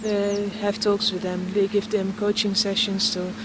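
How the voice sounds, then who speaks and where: A woman's voice: medium pitch, level tone. Earnest woman, street noise behind. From Netherlands. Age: 20s. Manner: earnest, pausing often to find words. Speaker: project founder. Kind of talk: documentary interview. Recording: outdoor street noise with passing traffic.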